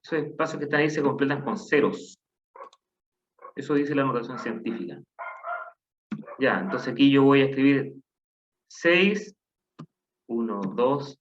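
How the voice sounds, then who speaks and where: A man's voice: medium pitch, a little hiss or hum. A man teaching a math class online, patiently counting aloud and asking the class questions. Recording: dull lo-fi online class audio.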